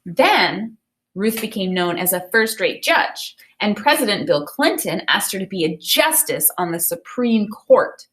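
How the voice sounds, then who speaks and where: A woman teacher reading a picture book aloud and pausing to explain it, often checking for understanding. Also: mid-range voice, sing-song, fairly bright tone.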